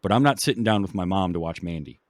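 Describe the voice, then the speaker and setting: Low-voiced man on a podcast, dry sound. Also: lively intonation, fast talker, no echo. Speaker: podcast host. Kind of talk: casual film discussion.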